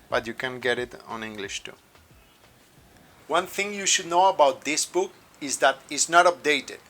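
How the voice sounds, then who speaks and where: A Colombian man with a Spanish accent talks in a bright, forceful voice that sits a little high. He explains things earnestly and carefully, like a birding guide, with faint music playing behind him. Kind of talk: informal review to camera.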